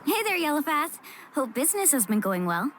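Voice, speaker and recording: A woman's voice: high voice, big pitch swings, fairly quick, background noise. A woman voicing an upbeat, friendly young surfer girl in a video game, with a breezy, casual manner. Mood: cheerful. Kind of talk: animated game voice acting.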